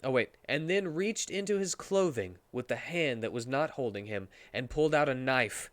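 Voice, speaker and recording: A man's voice: mid-range voice, lively intonation, moderate pace, fairly bright tone, dry recording. A man reading a story aloud as a comedic dramatic performance, sometimes stumbling over the words.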